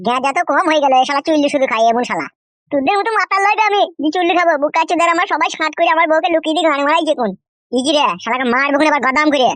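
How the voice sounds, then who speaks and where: High-voiced whiny, bumbling cartoon village husband. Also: female voice, racing, fairly bright tone, no echo. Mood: aggrieved. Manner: pleading, bumbling, mispronounces words. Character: naive, whining, stubborn village husband in cartoon. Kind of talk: exaggerated comic cartoon dialogue.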